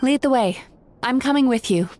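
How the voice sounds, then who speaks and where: A woman voicing a calm young dream therapist who explains things gently and clearly. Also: sits high, moderate pace. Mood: composed. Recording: game audio with faint music underneath.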